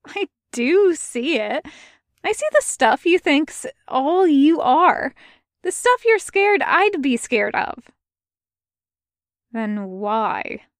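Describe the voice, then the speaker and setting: A woman's voice: high voice, very animated, extremely slow, no echo, studio quality, voice pushed up, full character voice. A woman reading fan fiction aloud, voicing a cheerful, blunt young male pirate captain who talks plainly and earnestly. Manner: earnest, plainspoken, warm-hearted.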